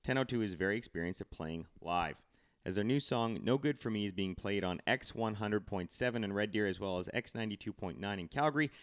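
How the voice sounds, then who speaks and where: A man's voice: lowish voice, a little fast, clear, resonant tone. A man hosting a podcast interview, bantering casually in a teasing, jokey way. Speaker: podcast host.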